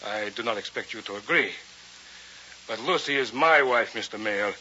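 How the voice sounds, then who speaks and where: A man's voice: fairly low pitch, moderate pace, pushed and bright. An actor playing a cold, saturnine physicist in his forties, formal, precise and dismissive, in a vintage radio drama. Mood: cold, disdainful. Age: 40s. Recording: muffled vintage radio recording with hiss.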